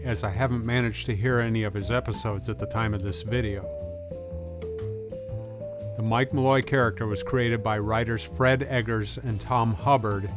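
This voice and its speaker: A man reading scripted documentary narration in an informal, folksy way. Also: mid-range voice. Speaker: video essayist, narrator. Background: music bed with guitar.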